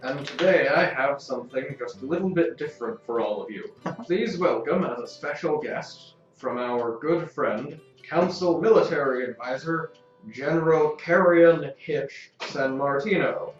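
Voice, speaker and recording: A man acting as a pompous but genial convener opening a council in an audio drama. His voice is fairly high and grandiose. It sounds far off and echoey. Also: unhurried, lightly acted. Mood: grand, genial. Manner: grandiose, formal. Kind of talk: ceremonial speech in audio drama. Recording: distant, echoey, dull hall sound with music.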